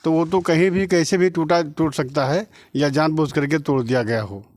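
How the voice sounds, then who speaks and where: Middle-aged man, from Uttar Pradesh, fairly high voice, insistent, point-by-point, repetitive, dry recording. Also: moderate pace, darkish tone, dry recording. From Uttar Pradesh, India. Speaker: relative of the victim being interviewed. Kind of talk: interview testimony.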